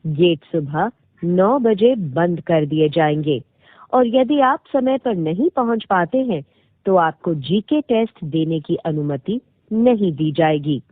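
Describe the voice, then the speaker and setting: Husky woman on a tinny phone line. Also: on the low side, expressive, no room sound. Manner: formal, measured instructions with deliberate pauses. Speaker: automated phone line announcer. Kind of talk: scripted IVR phone prompts. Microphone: recorded phone call. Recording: tinny band-limited phone line recording.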